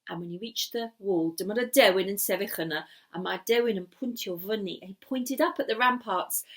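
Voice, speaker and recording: A woman telling a story in an animated, dramatic way, often dropping to a hushed breathy delivery. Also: medium pitch, brisk. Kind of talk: animated bilingual oral storytelling.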